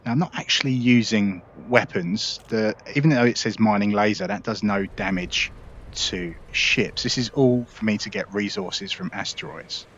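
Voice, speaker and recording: A man's voice: lowish voice, normal speed, a bit bright, husky, dull audio. A man hosting a casual gameplay tutorial, explaining things in a chatty, upbeat way. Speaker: gaming video host.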